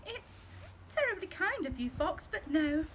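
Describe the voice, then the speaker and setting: A woman voicing a small, clever, cheeky mouse in a storybook reading, high and perky. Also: very animated, measured pace, heavily acted. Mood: perky, boastful. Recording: loud background noise.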